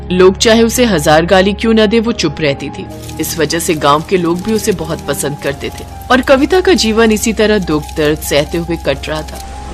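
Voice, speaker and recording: A woman narrating a moral cartoon story in an expressive, melodramatic way. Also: lowish voice.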